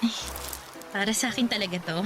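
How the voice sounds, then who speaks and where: A woman's voice: mid-range voice, quick pace, music in the background, close to an everyday voice. A woman with a Filipino accent playing a stubborn, exasperated, heartbroken young woman in a Tagalog radio drama. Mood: curt, surprised. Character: heartbroken, stubborn, sassy grown daughter in late twenties.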